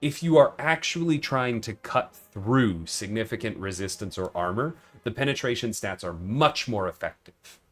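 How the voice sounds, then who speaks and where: A man's voice: lowish voice, expressive, moderate pace, hardly any pauses, soft background music. A man livestreaming a game, giving casual commentary and explaining things in detail, often checking in with "right?". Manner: explanatory, tags points with "right?".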